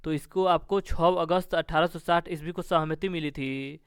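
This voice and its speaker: A man's voice: on the high side, fairly even intonation, normal speed, clean tone, no echo, clean studio sound. A man giving an informal exam review as a coaching teacher, instructive and leaning on repeated stock phrases.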